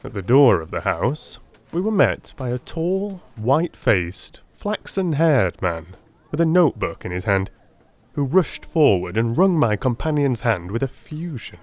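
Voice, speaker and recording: A man narrating a classic story as a dramatic audiobook, with theatrical, deliberate phrasing. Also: medium pitch, big pitch swings.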